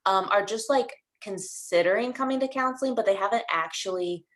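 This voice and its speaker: A woman's voice: mid-range voice, fairly quick. A woman interviewing a colleague, asking friendly, rambling questions with many fillers. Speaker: interviewer, fellow counselor.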